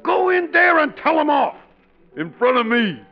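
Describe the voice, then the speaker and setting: An actor playing a nagging, scornful working-class buddy who scolds and needles in a 1940s radio sitcom. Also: male voice, very high voice, big pitch swings, moderate pace, exaggerated voice. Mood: scornful, goading. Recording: muffled old radio broadcast, music bleed.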